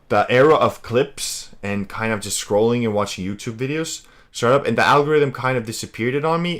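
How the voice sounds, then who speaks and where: A man's voice: mid-range voice, a bit bright. A young man from Latvia streaming casually, rambling in a laid-back way and calling everyone "bro".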